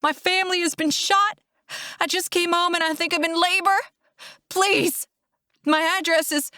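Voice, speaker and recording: A woman's voice: very high voice, fairly even intonation, normal speed, sharp tone, dry recording, studio quality, voice pushed up. A woman voicing a desperate, grieving woman in labor, pleading and crying out in a dramatic audiobook. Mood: panicked pleading. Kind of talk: dramatic audiobook character acting.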